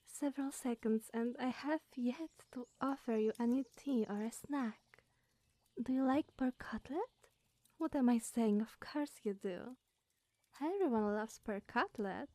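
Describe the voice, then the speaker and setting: A woman playing a flustered, eccentric young witch groundskeeper in an ASMR roleplay, rambling and awkwardly apologetic in a soft, breathy voice. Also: on the high side, dry recording.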